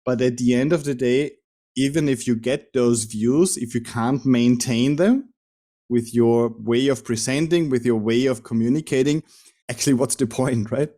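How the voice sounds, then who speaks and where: A man's voice: mid-range voice, moderate pace, dark tone. A podcast host, a man with an Austrian German accent who speaks English as a second language, chatting reflectively with his guest. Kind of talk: conversational interview.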